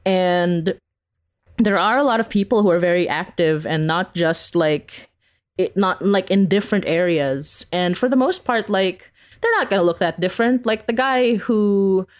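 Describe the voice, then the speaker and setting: A woman's voice: mid-range voice, normal speed. A woman from the Philippines giving a casual interview as a guest, talking in a rambling, giggly way and often interrupting herself. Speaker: interview guest, horror audio drama creator.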